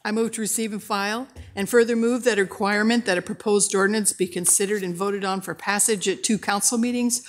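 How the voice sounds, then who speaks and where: A woman's voice: medium pitch, steady tone, fast, few pauses. An older woman, a city council member, formally reading a motion at a desk microphone in a council chamber.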